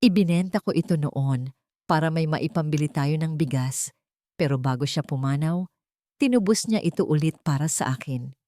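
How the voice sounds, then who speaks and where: A loving old Filipino mother from a dramatic story, read by a woman narrator. She speaks tenderly and earnestly in a lowish voice, recorded dry and close. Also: moderate pace, mellow, dry recording, natural voice. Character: loving, gentle elderly mother giving her blessing.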